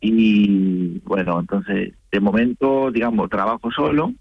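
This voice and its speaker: A man from Argentina with an Argentine accent, phoning in to a radio show and calmly explaining his small business. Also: lowish voice, unhurried, vocal fry, phone-quality sound, soft background music. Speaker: caller, small business owner. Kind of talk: casual phone chat on radio.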